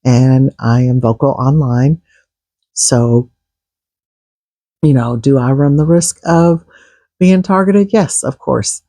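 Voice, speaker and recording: A man getting over a cold, talking in a froggy, husky voice with earnest, halting political commentary in a casual vlog. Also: mid-range voice, warm tone, no room sound. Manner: earnest, with frequent restarts and coughs. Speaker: vlogger.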